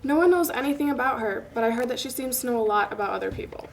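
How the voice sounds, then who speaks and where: A woman's voice: on the high side, expressive, fast, gravelly, low-quality recording. A young woman reading the part of a gossipy, wry college student at a script table read. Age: young adult. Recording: faint background noise.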